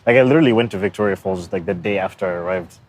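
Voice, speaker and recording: A man's voice: sits low, very fast. A man from Zambia chatting casually and informally as a podcast co-host.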